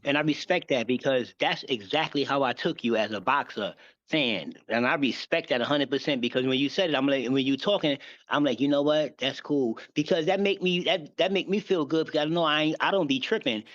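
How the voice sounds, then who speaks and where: A man's voice: medium pitch, fairly quick, a bit bright, no echo, lo-fi sound. A man, reassuring and slangy on a podcast panel, repeating his phrases. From Harlem. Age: 50s.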